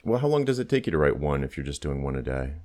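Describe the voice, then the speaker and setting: Deep-voiced male interviewer. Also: big pitch swings, fast talker, darkish tone. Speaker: interviewer, host. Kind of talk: casual interview questions.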